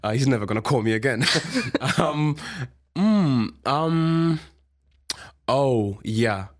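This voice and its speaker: A man from Zimbabwe with an English accent, a musician guest speaking halting German mixed with English, animated and laughing often. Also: mid-range voice. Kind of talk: casual radio interview.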